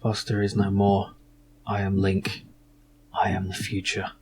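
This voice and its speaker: A man voicing a cold, grandiose, all-powerful computer overlord in a low, ominous voice for a game. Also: completely flat delivery, unhurried, darkish tone, a little hiss or hum. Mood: cold triumph. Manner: grandiose, declaring.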